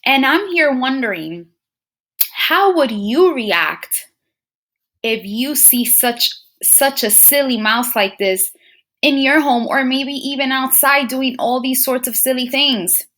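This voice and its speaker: A woman with a fairly high, very animated voice reads a storybook aloud as a teacher would to small children. She takes her time, musing aloud and putting questions directly to her young listeners. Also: slow pace. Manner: expressive, addresses children directly with frequent questions and musing hums. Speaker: teacher reading a picture book aloud to young pupils.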